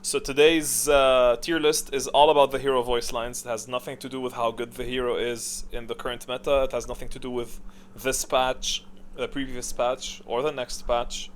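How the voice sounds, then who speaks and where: A man's voice: mid-range voice, level tone, moderate pace. Male gaming host, mid-range voice, informal and hesitant, off-the-cuff opinion commentary. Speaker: host of a gaming tier list video. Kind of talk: casual, rambling opinion commentary.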